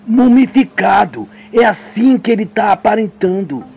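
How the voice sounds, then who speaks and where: Brief: anxious young woman man barely raising his voice, husky, lively pitch. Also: faint music behind, exaggerated voice. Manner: lightly raised voice, rapid anxious questions. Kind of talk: homemade radio drama.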